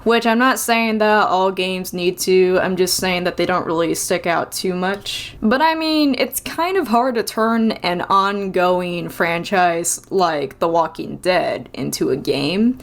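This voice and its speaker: A woman with a slightly low voice delivers an informal gaming commentary. She talks conversationally and bluntly, with strong opinions, sounding like someone who recently got out of bed. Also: takes it slowly, few pauses. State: just woke up. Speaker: video game commentator. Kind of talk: casual opinion rant, lightly scripted commentary.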